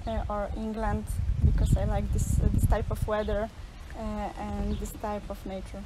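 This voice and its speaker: Careful woman, flat tone. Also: mid-range voice, moderate pace. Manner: careful, hesitant. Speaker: interviewee. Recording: outdoor noise.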